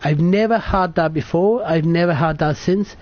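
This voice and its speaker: A man's voice: a little high, normal speed. A British man in his late thirties from the UK, telling a personal story to camera in a rambling, sweary, self-correcting way. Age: 30s. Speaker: vlogger. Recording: dull lo-fi sound.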